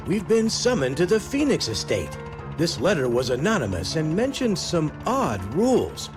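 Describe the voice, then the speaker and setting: An actor playing an earnest, articulate gentleman private detective in a scripted mystery game. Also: on the high side, wildly swooping pitch, moderate pace, over music. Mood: earnest, intent. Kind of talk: scripted adventure game dialogue.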